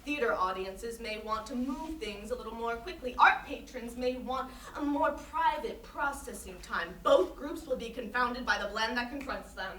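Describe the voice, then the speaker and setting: A woman's voice: fairly high pitch, normal speed, hardly any pauses, fairly bright tone, natural voice. A woman acting a grandiose, earnest conceptual artist who lectures emphatically in a staged interview. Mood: lofty, lecturing. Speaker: actor in a staged reading. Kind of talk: theatrical monologue in interview form. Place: staged reading in a reverberant room. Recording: roomy, distant microphone, noisy hall.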